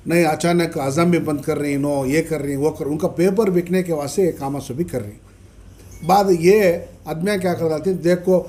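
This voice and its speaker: A man with a South Indian Dakhni Urdu accent giving a press statement as a spokesman, explaining haltingly and repeating himself with English terms mixed in. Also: on the high side, steady tone, mellow. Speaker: party minority-wing official, spokesman. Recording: noisy press meet recording.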